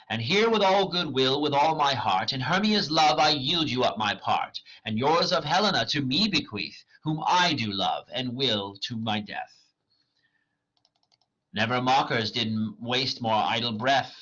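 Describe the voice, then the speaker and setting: An earnest young man pleading his love in verse, read by a man. The voice is lively in pitch and bright. The recording sounds lo-fi. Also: a little slow. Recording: dull lo-fi recording.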